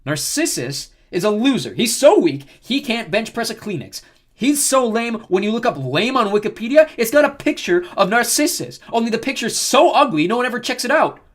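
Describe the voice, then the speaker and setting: Man doing a cocky, high-pitched, hyperactive teenage boy. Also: sing-song, a little fast, fairly bright tone. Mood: taunting, gleeful. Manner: swaggering, brash, exaggerated bragging. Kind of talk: audiobook character voice.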